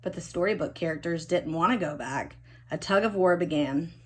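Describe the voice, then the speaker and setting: A woman reading a children's storybook expressively, with dramatic emphasis and drawn-out key words. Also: fairly low pitch, slightly rough. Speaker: storybook reader.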